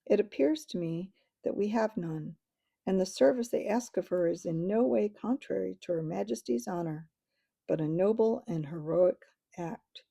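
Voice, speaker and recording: A woman voicing a gallant, formal mouse knight in a storybook reading, with a dark, husky voice. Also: medium pitch, moderate pace. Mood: solemn. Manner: formal, courtly.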